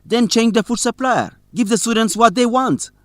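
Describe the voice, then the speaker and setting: An actor playing a principled, stubborn young student journalist in an educational radio drama, speaking expressively and clearly. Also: male voice, very high voice, moderate pace, no room sound, studio quality. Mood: firm, defiant.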